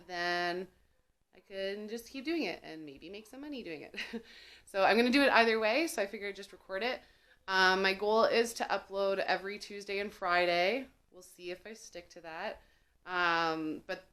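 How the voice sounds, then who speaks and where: A woman's voice: mid-range voice, normal speed, fairly bright tone. A young woman vlogging about learning to cook, chatting casually to camera with many fillers while getting over a cold. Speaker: cooking vlogger.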